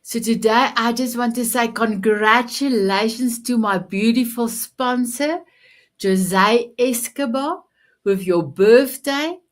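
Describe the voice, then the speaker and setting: A woman's voice: on the high side, takes it slowly. A woman whose first language is Afrikaans, hosting a live-streamed motivational show in an upbeat, affirming way, full of endearments. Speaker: host.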